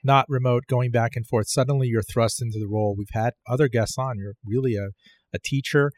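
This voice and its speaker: A man's voice: medium pitch, steady tone, a little fast. A man hosting an interview, asking curious and enthusiastic questions. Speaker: interview host.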